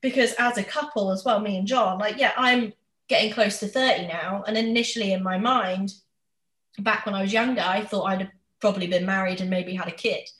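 A woman's voice: medium pitch, fairly even intonation, fairly quick, a bit bright. A woman, talking candidly and chattily in a casual conversation. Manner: chatty, candid, acts out others' questions. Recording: roomy, echoey sound.

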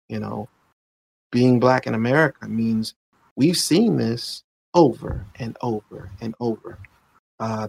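Man in his late thirties, gravelly, mid-pitched, reflective, halting with restarts. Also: no echo, rough recording. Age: 30s. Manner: thoughtful, halting, with many restarts and filler phrases. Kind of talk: reflective conversation.

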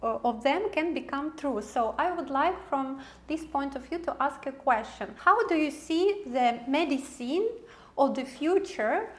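A woman's voice: sits high, animated, measured pace. A woman TV host interviewing a guest, effusive and praising, with frequent hesitant fillers. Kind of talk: interview questions.

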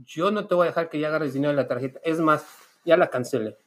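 A man's voice: a little high, fast talker, natural voice. A man improvising a fed-up, stubborn, nagging partner on a prank phone call, scolding and insisting. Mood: insistent. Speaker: podcast host making a prank call.

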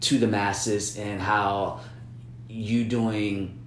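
A man's voice: lowish voice, level tone, extremely slow. A man talking through business plans in a casual, rambling way, often repeating words and checking in with "you know what I'm saying". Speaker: business-minded artist partner. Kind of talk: casual planning chat. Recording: echoey room with noise.

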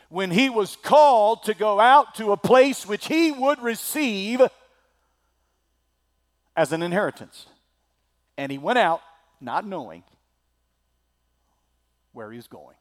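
A male preacher with a slightly scratchy throat, delivering a sermon that swings from loud declaiming to quiet asides. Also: a little high.

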